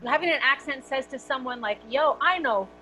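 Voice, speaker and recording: An actress on a panel, speaking frankly and with animation. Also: female voice, high-pitched, lively intonation, fairly quick, pushed and bright, faint music behind, low-quality recording. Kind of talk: casual panel discussion.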